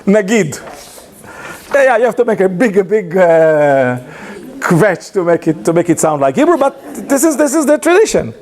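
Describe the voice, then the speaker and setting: Animated man, lively high voice. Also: moderate pace. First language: not English. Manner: hesitant, many restarts and fillers; animated storytelling with laughs. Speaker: lecturer. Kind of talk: informal lunchtime talk.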